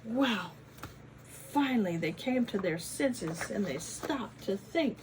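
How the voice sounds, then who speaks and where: A woman reading a picture book aloud to children in a teacherly way, pausing to ask them questions and adding dramatic emphasis. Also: mid-range voice, expressive, darkish tone, slight background noise, low-quality recording. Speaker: children's story reader, former teacher. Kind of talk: animated picture-book read-aloud with chatty asides to children.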